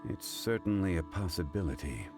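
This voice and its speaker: A man voicing a calm, learned and dignified character in a video game, formal and deliberate as he reasons aloud. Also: deep voice, over music. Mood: composed.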